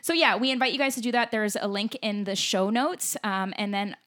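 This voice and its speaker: A woman's voice: medium pitch, fairly quick, fairly bright tone. A woman co-hosting a podcast, upbeat and chatty, using lots of "like" fillers. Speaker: podcast co-host. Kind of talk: casual podcast chat.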